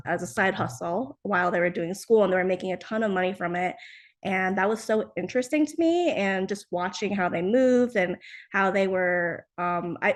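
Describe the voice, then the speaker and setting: A young woman guest talks through her background in a relaxed, rambling way. She uses plenty of fillers and laughs softly between thoughts. Her voice sits at a middle pitch for a woman. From New Jersey, United States. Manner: chatty, many fillers and soft laughs. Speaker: guest, startup founder. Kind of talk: casual interview answers.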